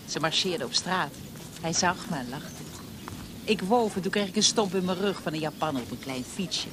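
A woman's voice: fairly low pitch, expressive, normal speed, close to an everyday voice. A woman acting in a Dutch radio play as the chatty, wistful wife of an interned soldier, in naturalistic dialogue. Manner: conversational, chatty, with small interjections. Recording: faintly noisy radio play recording.

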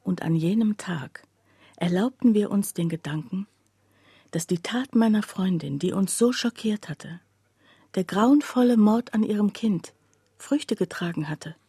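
A husky woman's voice with a soft, dark tone, playing a sombre townswoman in a radio play. She tells of grim events in a measured, deliberate way, often sinking to a hush. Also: medium pitch, dry recording. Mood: quiet, reflective. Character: sombre female townswoman recounting her friend's terrible deed. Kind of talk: radio drama monologue narration.

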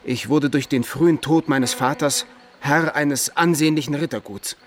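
Ardent young nobleman played by a man, higher-pitched. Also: normal speed, close to an everyday voice. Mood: wistful. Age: young adult. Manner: earnest, impassioned storytelling. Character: ardent young nobleman, 24, proud, desperate, lovelorn. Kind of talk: German radio play acting, dramatic monologue.

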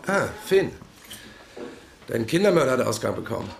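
A man's voice: mid-range voice, very animated, moderate pace, creaky. An actor playing a casual, needling male deputy detective in a German radio crime drama. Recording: roomy sound with faint noise.

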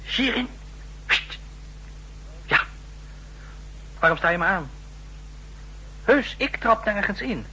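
An actor in a 1960s Dutch radio play, playing an ambitious, overconfident young intelligence officer with an intense, self-assured manner. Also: male voice, high-pitched, expressive, slow pace, pauses often, bright, forceful tone, husky, exaggerated voice, poor audio. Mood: scheming, fervent.

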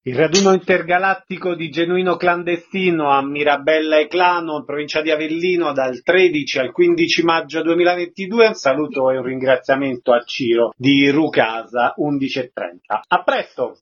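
A man's voice: a little high, normal speed, few pauses. A man speaking as a radio interviewer, presenting and putting questions to a guest. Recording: dull lo-fi radio sound.

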